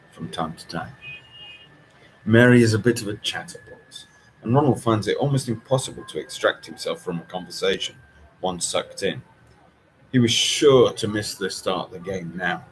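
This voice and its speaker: A man reading a story aloud in an expressive audiobook style. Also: on the low side, a little hiss or hum, low-quality recording. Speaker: author reading own novel.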